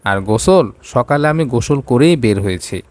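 A man's voice: mid-range voice, lively intonation, normal speed, a little mellow, no echo, close to an everyday voice. Man playing a curt, bossy rich young businessman. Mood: businesslike.

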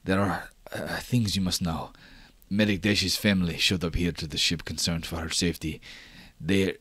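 A man's voice: deep voice, steady tone, normal speed, breathy edge, no room sound. A man voicing a stressed, stammering ship officer woman. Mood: anxious. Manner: anxious, stammering, hushed. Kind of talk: improvised tabletop roleplay.

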